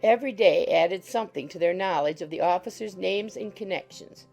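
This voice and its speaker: A woman's voice: fairly low pitch, brisk, vocal fry, a little mellow, soft background music. A woman giving scripted audiobook narration.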